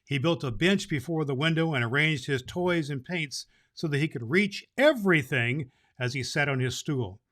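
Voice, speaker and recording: A man playing Santa Claus, a jolly old man who reads storybook tales to children and hosts the show in an animated manner. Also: normal speed, hardly any pauses. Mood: storytelling. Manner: jolly, animated, booming ho-ho laughs. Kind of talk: storybook reading and address to children.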